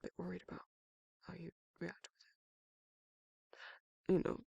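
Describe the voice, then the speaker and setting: A performer voicing a tender, protective male werewolf lover in a soft, breathy, often whispered romantic ASMR roleplay. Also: mid-range voice, monotone, normal speed, no echo, full character voice. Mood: shy, hesitant. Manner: soft, hesitant, affectionate murmuring.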